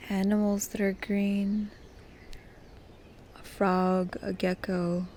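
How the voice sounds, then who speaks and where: A woman hosting a guided meditation in a calm, gentle, soft voice that turns breathy at times, pausing between short phrases. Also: mid-range voice, a little hiss or hum. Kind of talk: guided meditation, soft slow soothing delivery.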